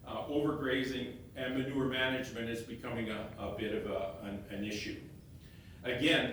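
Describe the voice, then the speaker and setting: A man with a loud voice presents slides to a meeting, stumbling and restarting phrases as he goes. He is heard from some way off in a very echoey room, with a faint rumble underneath. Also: far from the mic. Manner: halting, repeats words and restarts phrases. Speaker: elected county councillor. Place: meeting room, stepping back from a fixed mic.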